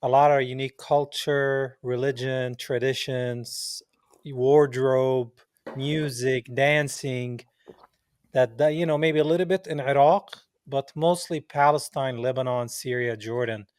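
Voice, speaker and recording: A man's voice: medium pitch, fairly even intonation, measured pace, darkish tone, smooth, dry recording, studio quality. A man chatting informally as a podcast interviewer, often dropping in man and bro. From the Levant. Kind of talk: casual conversational interview.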